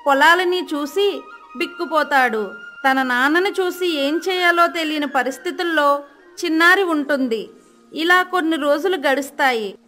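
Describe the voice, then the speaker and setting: A woman's voice: high voice, sharp tone. A woman narrating a scripted children's moral story as a storyteller.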